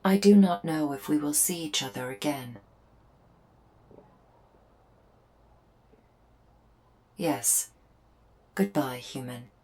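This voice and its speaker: A woman's voice: a bass voice, darkish tone. A woman playing a solemn alien invader soldier in a roleplay audio drama, speaking in a stilted, formal, earnest way. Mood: resigned, grave. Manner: stilted, formal, literal speech. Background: background music.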